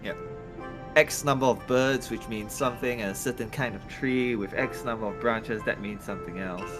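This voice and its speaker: A man's voice: medium pitch, normal speed. A man chatting casually, with a hesitant delivery that often stops and repeats words. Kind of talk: casual chat. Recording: music playing underneath.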